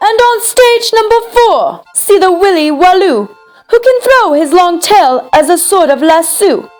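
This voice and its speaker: A woman's voice: very high-pitched, very animated, a little slow, soft background music, exaggerated voice. A woman voicing an eager, boastful young boy from a storybook who talks in grandiose, singsong showman's patter. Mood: proud showman.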